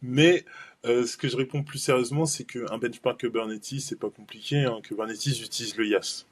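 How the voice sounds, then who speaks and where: A man's voice: mid-range voice, steady tone, normal speed, breathy edge. A man presenting a cloud benchmarking webinar in a casual, rambling way, full of fillers and restarts.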